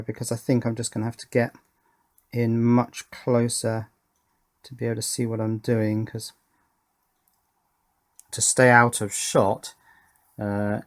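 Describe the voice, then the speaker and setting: A middle-aged man talks through a practical electronics demonstration. His delivery is slow and unscripted, arriving in short halting phrases as he thinks aloud. The pitch sits in an ordinary middle range. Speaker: electronics hobbyist and presenter. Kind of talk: hands-on workbench demonstration, unscripted commentary. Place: indoor electronics workbench.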